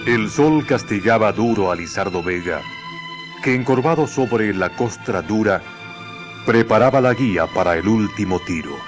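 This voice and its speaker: Male radio drama narrator, low voice, very measured, solemn, faint music. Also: lively intonation.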